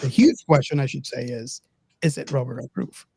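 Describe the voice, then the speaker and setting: A man's voice: mid-range voice, lively intonation, normal speed, vocal fry, a little mellow, a little hiss or hum. A man hosting a casual podcast discussion, speaking hesitantly and often repeating his words. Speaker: podcast host.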